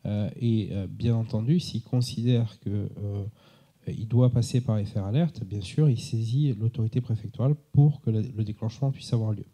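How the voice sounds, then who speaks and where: A man answering as an expert at a conference, unscripted but didactic, with formal, measured wording. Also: fairly low pitch, warm tone, breathy edge. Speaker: expert answering audience questions.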